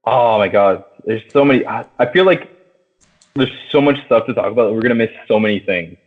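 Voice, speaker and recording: Low-voiced man on a thin, phone-like line. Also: expressive, a little fast. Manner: enthusiastic, heavy casual swearing. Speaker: podcast co-host. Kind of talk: casual movie chat with friends. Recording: thin phone-like, radio-like sound.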